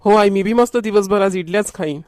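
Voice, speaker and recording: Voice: mid-range voice, a little fast, a little mellow, no room sound, clean studio sound, natural voice. A performer voicing a food-loving, whiny young schoolboy in a homemade comedy cartoon, with a Varhadi Marathi accent. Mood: eager. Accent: Varhadi Marathi from Vidarbha.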